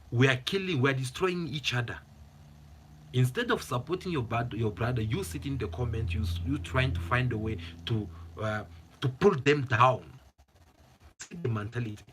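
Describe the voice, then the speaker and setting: Passionate husky-voiced man ranting to camera. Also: medium pitch, sharp tone. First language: not English. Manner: passionate, emphatic, repeats phrases for stress. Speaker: channel host, commentator. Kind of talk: opinionated vlog commentary.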